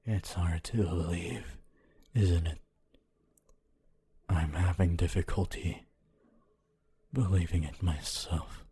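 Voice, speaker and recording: Guy doing a gentle old-world ghost scholar for asmr, really low n super husky whispery breath, wistful, formal, takes his time. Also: steady tone, exaggerated voice. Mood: tender wonder. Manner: slow, deliberate, formal phrasing with long pauses. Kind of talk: ASMR roleplay, slow intimate monologue.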